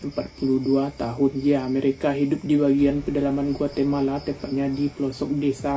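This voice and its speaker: A man's voice: medium pitch, warm tone, slight background noise, low-quality recording. A young Indonesian man from Indonesia narrating a true crime story in casual slang, talking straight to his viewers. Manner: slangy, addresses viewers directly.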